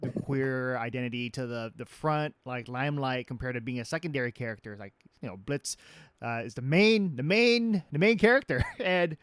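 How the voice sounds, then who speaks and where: Male interviewer: clear smooth tone, close dry sound, informal, halting, enthusiastic. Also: medium pitch, a bit bright, no room sound. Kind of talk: casual fan-press interview.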